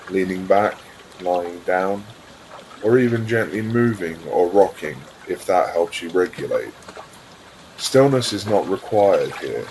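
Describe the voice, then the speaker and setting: A man with a very low voice reads a guided visualisation at a very slow pace. His delivery is calm and soothing, with room between phrases. Trickling water ambience runs clearly behind him. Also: a little hiss or hum, rough recording. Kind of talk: guided meditation, scripted narration.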